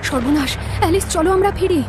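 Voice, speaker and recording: A woman acting a frightened, excitable young woman in an audio drama, speaking with urgent alarm. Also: extremely high pitch, fairly quick, noisy background. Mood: panicked. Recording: clear music under the voice.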